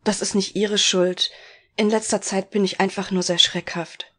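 A woman's voice: medium pitch, level tone, normal speed, lightly acted. A woman playing a polite middle-aged former actress in a detective radio play parody.

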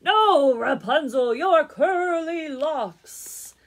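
Woman as a young storybook prince, calling high and loud. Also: lively intonation, very slow, exaggerated voice. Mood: pleading loudly. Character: young, lovestruck, eager fairy-tale prince. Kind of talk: storybook character voice.

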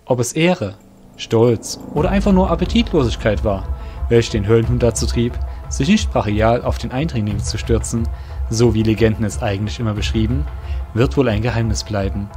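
A man's voice: medium pitch, normal speed, mellow. A man narrating a fantasy audio drama in a scripted storytelling style. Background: faint music.

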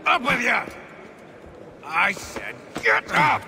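An actor playing a harsh, impatient soldier in a video game, barking orders in a gravelly voice. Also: male voice, high-pitched, wildly swooping pitch, slow pace, sharp tone, slight background noise, exaggerated voice. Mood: angry commanding. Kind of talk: video game cutscene acting.

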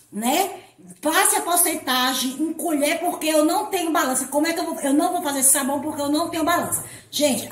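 A woman from Brazil hosting a home soap-making tutorial, hoarse from a cold, talking in a chatty, affectionate way. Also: high voice. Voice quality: husky. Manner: chatty, affectionate, repeats "tá, gente?". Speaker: soap-making channel host. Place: home kitchen counter. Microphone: phone camera on the table.

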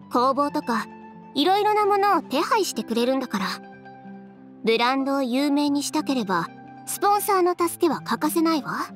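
Game casting: confident young woman designer, high and expressive, brisk, dryly frank, no-nonsense. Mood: businesslike, frank. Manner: matter-of-fact, decisive, frank. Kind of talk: Japanese anime game voice acting.

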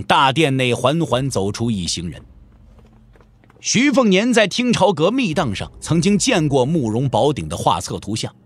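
Animated male storyteller, slightly high voice. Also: husky. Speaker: narrator. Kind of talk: dramatic scripted storytelling of a wuxia audio drama.

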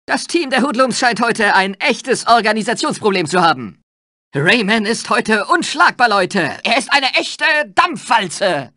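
An excitable male sports commentator from a cartoon game. He calls the match theatrically, with lively swings in pitch and a sharp, forceful tone. The recording is dry and close, and the delivery is over-the-top and exclamatory. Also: unhurried, dry recording, full character voice. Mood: brisk, announcing.

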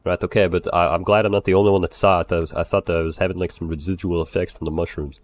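A man's voice: low-pitched, flat delivery, rapid-fire. A man role-playing in casual improvised chat and storytelling, rambling and swearing freely as he goes. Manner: profane, rambling. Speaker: role-play gamer telling a story in character.

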